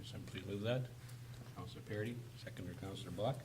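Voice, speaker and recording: A man with a hoarse, raspy voice chairing a formal council meeting, calling motions briskly in a council chamber. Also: low voice. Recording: noisy room recording.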